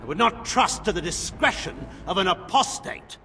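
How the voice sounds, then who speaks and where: A man's voice: sits high, sing-song, moderate pace, sharp tone, soft background music, full character voice. An actor playing a proud, suspicious nobleman general in a video game, declaiming with sardonic, theatrical oratory in a very reverberant hall. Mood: cold, defiant.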